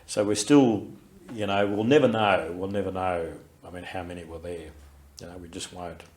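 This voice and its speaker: Deep-voiced older Australian man reminiscing. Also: steady tone. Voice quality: loud, carrying voice. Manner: reflective, unhurried recollection with many fillers and restarts. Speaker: interviewee, war veteran. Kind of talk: recorded oral history interview.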